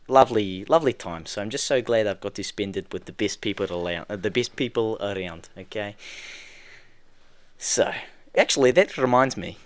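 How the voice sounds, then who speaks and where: A man voicing a comic fake prince, telling tales in a rambling deadpan way. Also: medium pitch, clear, resonant tone, no room sound, natural voice. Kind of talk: comedy podcast bit.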